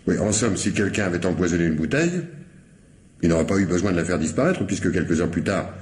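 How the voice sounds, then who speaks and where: An actor playing a polite, probing, affable police commissioner in a French radio mystery, questioning with small hesitations. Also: male voice, low-pitched, fast talker, a little mellow, close to an everyday voice. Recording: roomy sound with faint noise.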